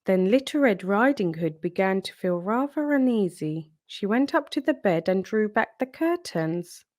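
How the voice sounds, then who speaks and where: A woman storyteller narrating a children's storybook in an expressive read-aloud style. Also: mid-range voice, moderate pace, no echo, studio quality.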